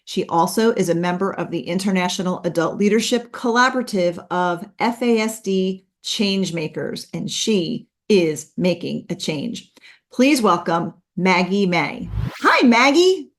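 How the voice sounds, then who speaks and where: A woman's voice: lowish voice, lively intonation, a little slow. A woman hosting a podcast, talking in a chatty, upbeat way with frequent ums. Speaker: podcast host. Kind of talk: casual podcast talk.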